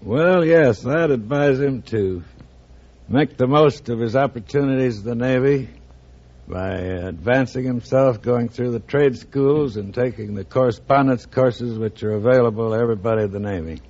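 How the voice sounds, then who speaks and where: An older man, a retired officer, answering radio interview questions in a plain, formal, measured way. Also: medium pitch, darkish tone. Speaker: retired admiral, show guest. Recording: dull old-time radio recording.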